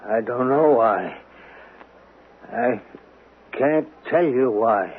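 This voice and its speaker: An actor in a radio drama playing a lonely, guilt-ridden male killer who speaks in a halting, pleading way. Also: mid-range voice, lively intonation. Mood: evasive. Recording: noisy, tinny old radio recording.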